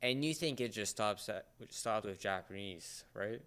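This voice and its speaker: A man hosting a casual entertainment talk, explaining things in a halting way. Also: lowish voice, lively intonation, fairly bright tone. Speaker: podcast host.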